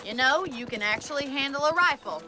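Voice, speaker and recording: An American woman playing a brisk, wry, plain-spoken young rancher woman who gives orders and teases in a western video game. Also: sits high, lively intonation, background noise. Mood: wry, appreciative. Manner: plain-spoken, commanding, teasing. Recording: game audio with loud music.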